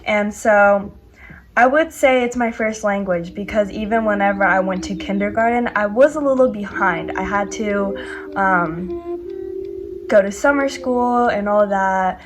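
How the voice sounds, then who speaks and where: A woman's voice: mid-range voice, a little slow, background noise. A teenage girl from the United States chatting casually to camera, with lots of "like" and "um" fillers. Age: teens. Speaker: vlogger. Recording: faint background music.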